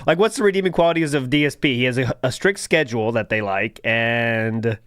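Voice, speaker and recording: A man's voice: medium pitch, a little fast, a bit bright, smooth, dry recording, studio quality. A man hosting a streamed commentary show, chatting casually and mockingly and slipping into sarcastic imitations of others.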